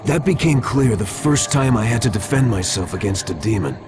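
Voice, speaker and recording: Deep, troubled warrior in purgatory played by a man. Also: lively intonation, brisk, lightly acted. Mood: troubled, protesting. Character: troubled, stubborn dead man fighting demons in purgatory. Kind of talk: video game cutscene acting. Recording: music behind the voice. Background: music.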